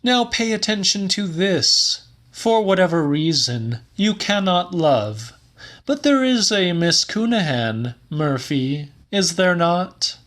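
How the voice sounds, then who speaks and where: A man's voice: sits high, big pitch swings, slow, a bit bright, pitched above the performer's own voice, full character voice. A man voicing a lovesick, grandiloquent philosopher in an audiobook, declaiming emphatically as if lecturing a pupil. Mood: insistent.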